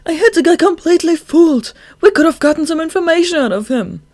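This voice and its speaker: A lilting, teasing young man who jokes about death as easily as breathing, his voice riding well above a grown man's usual pitch, as a male narrator puts him on. He swings into whiny, exaggerated fear when it suits him. The storybook voice sits dry and close. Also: very animated, normal speed, a little mellow, no echo. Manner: light, teasing, feigns trembling fear.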